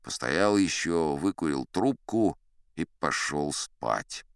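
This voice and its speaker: A man narrating a scripted adventure tale in the first person, with theatrical, varied delivery and dramatic pauses. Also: a bass voice, lively intonation, vocal fry, smooth, no room sound, clean studio sound. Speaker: audiobook narrator.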